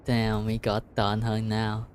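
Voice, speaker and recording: A man livestreaming a game with casual, slangy commentary, often halting and repeating himself. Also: on the low side, completely flat delivery, a little hiss or hum. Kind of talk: casual live gameplay commentary. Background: faint game music.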